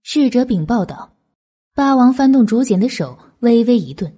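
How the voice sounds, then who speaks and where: A woman's voice: fairly high pitch, expressive, moderate pace, warm tone, husky. A woman narrating an audiobook novel in a scripted, dramatic storytelling style.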